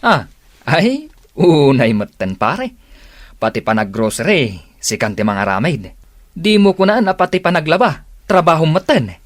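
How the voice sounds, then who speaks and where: A man's voice: a little high, big pitch swings, a little slow, no echo, clean studio sound. An actor playing a nosy, bantering male buddy in a radio drama, needling and exclaiming, with an Ilocano accent. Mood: mocking, needling.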